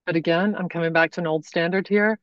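A woman wardrobe consultant giving thoughtful, measured answers as a podcast guest. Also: fairly low pitch, steady tone, no room sound, highs cut off. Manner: thoughtful, measured explanations with pauses.

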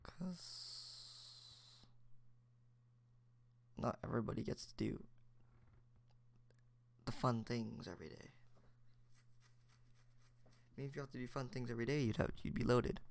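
Gravelly-voiced young man musing solo. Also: medium pitch, a little slow, long pauses. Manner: rambling, many fillers and restarts, reflective. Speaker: podcast host. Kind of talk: solo casual chat.

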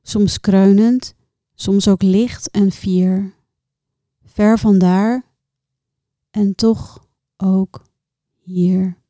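Slow, very mellow woman reciting poetry. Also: medium pitch, steady tone, no room sound, clean studio sound. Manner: measured, contemplative delivery with deliberate pauses. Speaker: poet reciting own poems.

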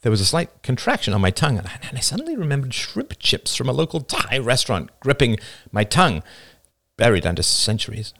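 A man reading a first-person story aloud with expressive storytelling. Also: medium pitch, brisk, gravelly, no echo, clean studio sound. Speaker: narrator.

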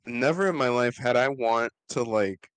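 A man's voice: mid-range voice, moderate pace, a bit bright, smooth, dry recording. A man in casual podcast chat, ranting with emphasis. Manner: emphatic, rants with feeling. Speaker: podcast co-host.

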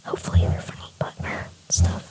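A woman whispering breathily and close to the microphone, chatting in a halting, informal way as an ASMR creator. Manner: hushed, halting, informal rambling. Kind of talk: whispered ASMR with casual chat.

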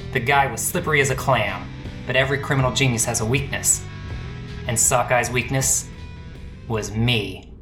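Man acting a hard-boiled noir detective, bright and forceful. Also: medium pitch, reverberant, lightly acted. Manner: pompous, wordy, deadpan. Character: hard-boiled male noir police detective, weary, melodramatic, wry. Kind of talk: parody noir radio play with monologue narration.

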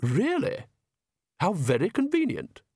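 A man voicing a genteel vampire wife and mother in a storybook, raised in pitch, refined, hesitant and politely disdainful. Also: wildly swooping pitch, measured pace, mellow, breathy edge, no room sound, clean studio sound, full character voice. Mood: nervous, supportive.

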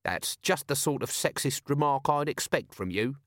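A man's voice: medium pitch, lively intonation, moderate pace, a bit bright, no room sound, studio quality, voice pushed up, lightly acted. Man putting on a cheeky, sulky corporal. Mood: huffy. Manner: needling, colloquial. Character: cheeky, sulky scruffy corporal insisting he's a woman.

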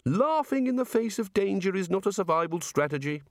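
A man's voice: high-pitched, animated, fairly quick, no echo, clean studio sound, voice pushed up. A man reading a story aloud and voicing a curious, oblivious male creator god who asks question after question. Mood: eager curious. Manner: chatty, eager, inquisitive.

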